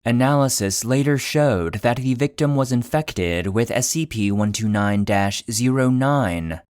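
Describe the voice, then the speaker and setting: Male podcast narrator: unhurried, serious, clinical delivery of scripted documents, dry studio sound. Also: medium pitch, lively intonation, a little mellow, clear, resonant tone, no room sound. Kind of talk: scripted narration of fictional clinical case file.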